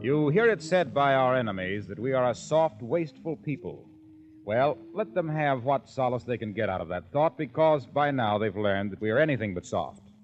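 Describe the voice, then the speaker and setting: A man announcing on 1940s radio in an earnest, polished sponsor-pitch style. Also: lively intonation, moderate pace, soft background music. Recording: dull 1940s radio broadcast.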